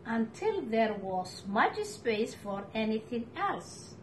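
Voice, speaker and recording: A middle-aged woman reading a picture book aloud to children, with deliberate, expressive phrasing and pauses. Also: fairly high pitch, a little hiss or hum, low-quality recording. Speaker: storytime reader for children. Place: living room at home, indoors.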